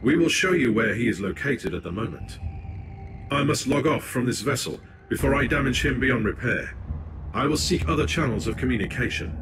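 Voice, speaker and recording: An actor voicing a solemn machine spirit that speaks through a priest's body in a video game, formal and measured. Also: male voice, mid-range voice, lively intonation, noisy background, close to an everyday voice. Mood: solemn, grave. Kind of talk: scripted video game voice acting.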